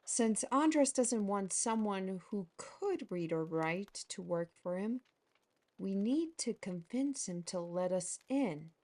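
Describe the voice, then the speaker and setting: A woman's voice: mid-range voice, expressive, slow, darkish tone. A woman playing a bold, teasing artisan steward with a playful, persuasive manner in a fantasy audio drama. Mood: scheming.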